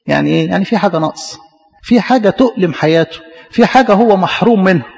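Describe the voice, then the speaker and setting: A man's voice: high-pitched, lively intonation, very fast, vocal fry, a little mellow, long reverb tail, lo-fi sound. A man preaching a sermon in an Egyptian Arabic accent, often asking questions and answering them himself. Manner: questioning, repetitive.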